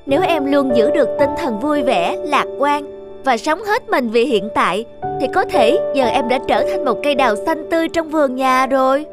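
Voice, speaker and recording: Lady doing a sweet hopeful big sister tree in a cartoon, high soothing voice, music playing. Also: animated, normal speed. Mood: gently concerned. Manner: soothing, wondering. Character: cheerful, gentle older-sister peach tree, optimistic. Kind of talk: animated cartoon dialogue.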